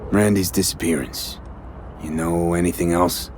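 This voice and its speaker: An actor playing a cool, blunt streetwise mercenary in a video game, with a casual, clipped, dry delivery. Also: male voice, low voice, fairly even intonation, normal speed, background noise, close to an everyday voice. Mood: probing, concerned. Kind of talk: video game dialogue acting.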